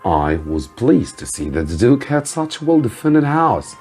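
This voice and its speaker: A man narrating a story as an English teacher, phrasing it carefully and deliberately with frequent pauses. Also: mid-range voice, sing-song, darkish tone, husky. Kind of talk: slow scripted story narration for learners. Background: music behind the voice.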